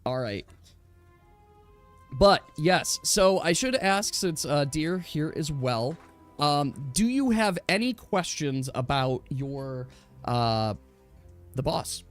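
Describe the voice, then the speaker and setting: A man running a tabletop game, explaining rules casually in a chatty, halting way. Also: fairly high pitch, animated, soft background music. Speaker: game master.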